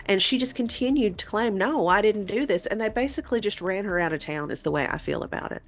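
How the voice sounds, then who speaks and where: A woman's voice: mid-range voice, lively intonation, fast. A woman co-hosting a talk show, telling a story in a conversational way. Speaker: podcast host telling a paranormal story.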